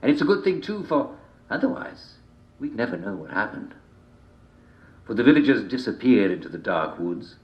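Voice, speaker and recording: A man telling a dark fairy tale in a theatrical, deliberate manner, with repeated phrases and dramatic pauses. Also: lowish voice, very animated. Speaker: storyteller reading a folk tale. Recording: dull, roomy, echoing home recording.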